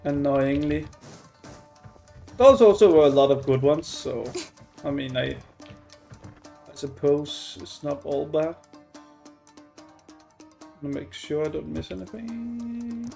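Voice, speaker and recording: A man giving casual game commentary as a streamer, musing to himself in a halting way. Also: fairly high pitch, lively intonation, vocal fry, mellow, faint music behind. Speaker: gaming streamer.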